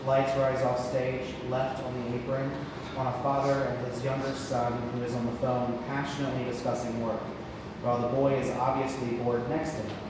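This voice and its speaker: A man's voice: medium pitch, flat delivery, normal speed. Man reading stage directions in echoey hall. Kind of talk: scripted narration, play table read. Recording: echoey hall, distant mic, heavy noise.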